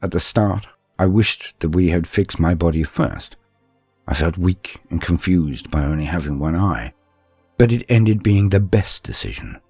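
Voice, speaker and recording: A man playing an earnest, reflective young psychic apprentice, telling his story in a scripted, dramatic and measured first-person narration. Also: low voice, animated, darkish tone, breathy edge, dry recording, soft background music, exaggerated voice.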